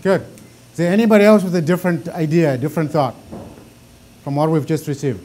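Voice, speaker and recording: A middle-aged man with a deep Zimbabwean accent teaching a Bible class in a conversational way, often repeating words as he thinks. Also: lively intonation, quick pace, warm tone, slight background noise.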